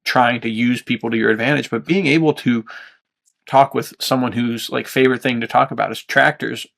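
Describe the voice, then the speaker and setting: Gravelly man chatting casually. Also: medium pitch, fairly even intonation, a little fast, fairly bright tone. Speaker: podcast co-host. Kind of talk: casual chat.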